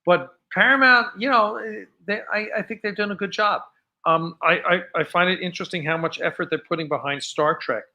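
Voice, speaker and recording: An American man hosting a casual live fan show, chatting off the cuff in a rambling, halting way that often trails off. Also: high voice, sharp tone. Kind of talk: casual unscripted livestream chat.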